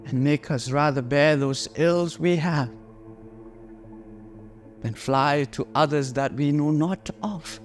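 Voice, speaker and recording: An actor playing a melancholy, brooding prince in a theatrical Shakespearean monologue, speaking deliberately with dramatic pauses. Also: male voice, on the high side, slight background noise. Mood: troubled, resigned.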